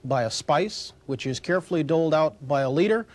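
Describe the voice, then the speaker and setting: A man's voice: fairly high pitch, animated, a little fast, darkish tone. A man working as an interviewer, putting prepared questions to a guest.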